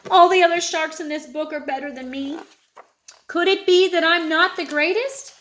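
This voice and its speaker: Woman voicing an eager, high-pitched storybook shark. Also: normal speed, a bit bright. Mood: crestfallen. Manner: eager, showy, exclamatory.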